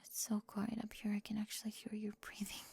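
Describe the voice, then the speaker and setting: Woman, mid-pitched, gravelly edge, dry close whispers, teasing roleplay delivery. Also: monotone, a little fast, no room sound. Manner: teasing, sharp-tongued, moving between whispers and soft speech. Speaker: ASMR roleplay performer.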